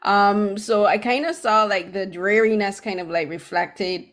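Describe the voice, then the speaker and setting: A woman's voice: medium pitch, measured pace. A woman from Barbados interviewing filmmakers over a video call, chatty and hedging with lots of "like" and "kind of".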